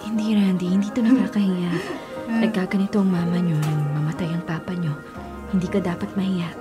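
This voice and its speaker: A woman's voice: on the low side, steady tone, fairly quick, close to an everyday voice. An actress playing a caring, weary girlfriend in her thirties, earnest and sympathetic, in a Filipino radio drama. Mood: reassuring. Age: 30s. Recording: loud music bed behind voice.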